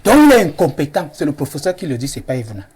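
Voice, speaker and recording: A man's voice: fairly high pitch, very animated, racing, crowd noise. A man, a panelist on a TV debate, combative and emphatic, cutting in to make his point. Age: 40s.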